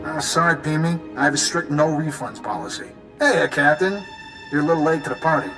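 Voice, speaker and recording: A man's voice: on the high side, expressive, fairly quick, over music, close to an everyday voice. An actor playing a cocky male rogue smuggler captain in a video game, casual, mocking and smug.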